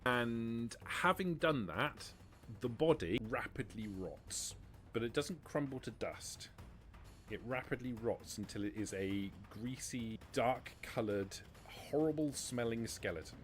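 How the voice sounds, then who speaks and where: A man's voice: medium pitch, animated, a little slow, soft background music. A man running a tabletop roleplaying game as game master, patiently explaining rules and narrating scenes.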